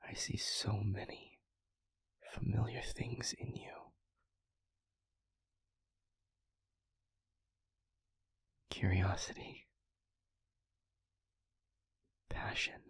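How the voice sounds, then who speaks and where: A man playing a tender, introspective demon in an intimate audio roleplay, speaking haltingly in a breathy, husky half-whisper. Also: sits low, dry recording, full character voice. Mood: tender, earnest. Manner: slow, halting, reflective delivery. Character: introspective, tender male demon, once arrogant, now gently regretful.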